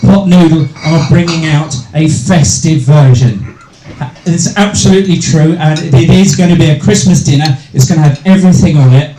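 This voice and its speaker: A middle-aged male stand-up comedian with a deep voice hosting a live comedy night, bantering with hecklers and swearing freely. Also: normal speed, a little hiss or hum. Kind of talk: stand-up comedy with crowd work. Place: small live venue with a crowd. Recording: echoey room with loud crowd.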